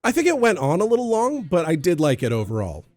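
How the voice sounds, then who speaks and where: A man's voice: high voice, wildly swooping pitch, quick pace, a little mellow, no echo. An American man co-hosting a casual discussion, explaining details and trivia in a knowledgeable way. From United States. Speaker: podcast co-host. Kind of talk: casual explanatory chat about a TV show.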